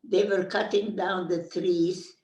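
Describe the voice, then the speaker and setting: Older woman, oral history witness, slow and halting, roomy distant sound. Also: on the low side, level tone, a little mellow. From Carpathian Ruthenia. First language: Hungarian. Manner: slow, searching for words with many pauses. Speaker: interviewee. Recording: echoey room, speaker off mic.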